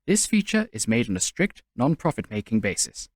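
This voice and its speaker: Man reading a formal, animated announcement. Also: medium pitch, fairly quick, dry recording, clean studio sound. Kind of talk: scripted disclaimer announcement.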